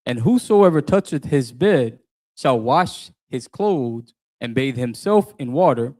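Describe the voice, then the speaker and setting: A man reading old scripture aloud in a steady, formal way, sounding distant from the mic. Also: medium pitch, slow. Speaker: scripture reader.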